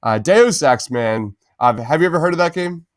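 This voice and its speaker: A man's voice: on the high side, animated, normal speed, dry recording, clean studio sound. A man co-hosting a podcast in an enthusiastic, casual chatty style, often tossing in "man". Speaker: podcast co-host.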